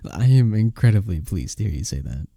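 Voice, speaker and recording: Guy doing a smitten polite medieval innkeeper, asmr romance, low-ish creaky breathy voice, super close and dry. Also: sing-song, brisk, warm tone, dry recording. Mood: earnest, admiring. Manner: formal, courtly phrasing with bashful hesitations. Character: courteous, smitten young male village innkeeper, tender and earnest. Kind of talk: intimate romantic ASMR fantasy roleplay.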